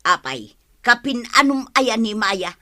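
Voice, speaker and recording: Sharp, bright scolding wife. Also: female voice, a little high, big pitch swings, unhurried, no room sound, studio quality, full character voice. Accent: Ilocano. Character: scolding, nagging wife, exasperated and sharp-tongued. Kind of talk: Ilocano radio drama acting.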